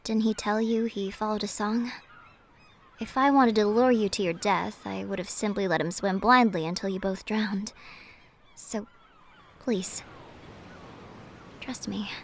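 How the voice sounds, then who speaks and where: A woman playing a gentle, mysterious siren in an audio roleplay, guarded and wistful, speaking softly and with measured care, often slipping into a breathy whisper. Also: medium pitch, fairly even intonation, a little hiss or hum, natural voice. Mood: guarded, persuasive. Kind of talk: cinematic audio roleplay acting.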